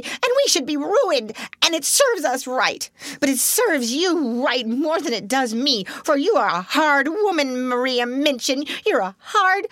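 Lady putting on a high wobbly hysterical old-maid teacher, loud and tearful, all over the place. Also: no echo, studio quality. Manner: shrill, tearful, breaking into wild outbursts. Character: timid, hysterical middle-aged schoolmistress sister.

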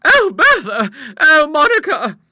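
A man's voice: extremely high pitch, big pitch swings, fairly quick, background noise, pitched above the performer's own voice. A man voicing a timid, fluttering elderly English spinster in a storybook, genteel, anxious and easily shocked. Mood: terrified. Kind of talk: storybook character voice in an audiobook.

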